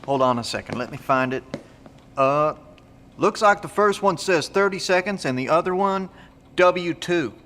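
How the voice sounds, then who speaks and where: A man's voice: on the high side, animated, moderate pace, a bit bright, long reverb tail. A man playing a plainspoken, practical, good-natured country fellow in an audio drama, with a folksy, informal manner. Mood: curious.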